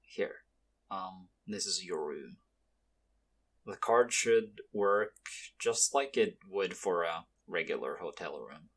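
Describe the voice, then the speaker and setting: Slow, very deep-voiced young mobster played by a man. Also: expressive, slightly rough, full character voice. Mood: casual, apologetic. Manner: hesitant, low-key, conversational with pauses. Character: mafia boss's son, guarded, weary young henchman, quietly kind. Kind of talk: ASMR roleplay acting, spoken to the listener.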